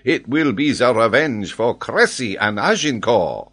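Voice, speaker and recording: A man voicing an excitable, triumphant French geographer who argues emphatically and draws out his key words. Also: medium pitch, a little slow, pitched above the performer's own voice. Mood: triumphant, teasing.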